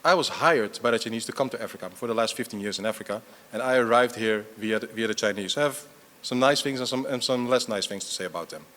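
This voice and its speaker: A man's voice: medium pitch, quick pace, fairly bright tone, big-room sound. A middle-aged man, half Dutch and half Portuguese, answering an audience question at a conference, rambling with many fillers and restarts. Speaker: conference speaker.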